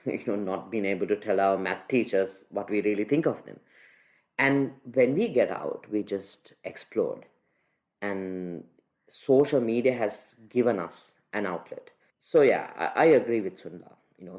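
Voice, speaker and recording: A woman's voice: very deep voice, darkish tone. A woman from Sri Lanka speaks as a podcast panelist. Her commentary is conversational and reflective, shared as personal opinion.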